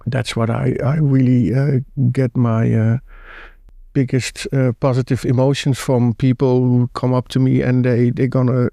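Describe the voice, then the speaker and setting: A Dutch man in his fifties talking as a guest artist, halting and laid-back, with many restarts. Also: mid-range voice, level tone, creaky, warm tone, no room sound, studio quality. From Netherlands. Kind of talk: casual interview chat.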